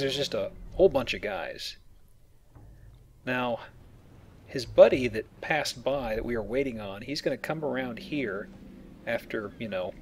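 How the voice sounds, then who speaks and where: Male game commentator, mid-pitched, casual, explanatory, joking, faint game noise behind. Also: creaky. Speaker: Let's Play host. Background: video game sounds.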